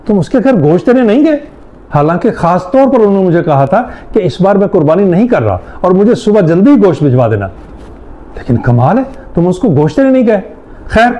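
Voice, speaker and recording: A man's voice: high-pitched, mellow. A man playing a strict, principled middle-aged father in a moral-story drama, speaking in an emphatic, lecturing way. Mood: angry. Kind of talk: Urdu moral-story audio drama acting.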